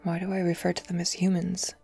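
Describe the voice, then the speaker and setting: A frightened, confused female patient played by a woman in a horror audio story. Her voice is hushed and haunted, creaky and fairly even, often sinking to a whisper, with music behind it. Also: lowish voice, fairly quick. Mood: uneasy, puzzled. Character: confused, frightened patient waking blind and immobile. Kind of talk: first-person horror audio fiction.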